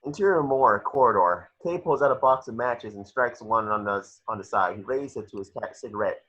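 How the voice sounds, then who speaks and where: A man's voice: on the low side, a little fast, a bit bright. A man narrating scripted action descriptions in an amateur table read, on a lo-fi home recording.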